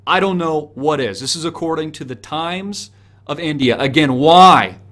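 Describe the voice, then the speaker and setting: A man's voice: on the high side, normal speed, a bit bright. A man delivering impassioned political commentary as an online video host, emphatic and repetitive, often asking rhetorical questions straight at listeners.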